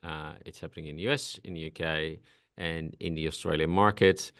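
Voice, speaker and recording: A man's voice: extremely low pitch, steady tone, a little fast, fairly bright tone, no room sound, clean studio sound. A man from the Netherlands with a Dutch accent, presenting in a webinar in a direct, earnest way with frequent restarts.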